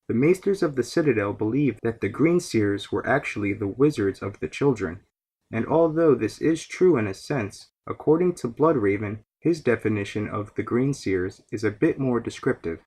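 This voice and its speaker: A man's voice: medium pitch, animated, normal speed, darkish tone, slightly rough. A man hosting a podcast, giving scripted, explanatory narration about fantasy lore. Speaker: podcast host.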